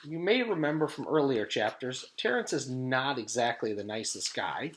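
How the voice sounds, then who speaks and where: A man reading a children's chapter book aloud as a teacher does for students, in an animated storytelling style. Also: mid-range voice, normal speed, slight background noise.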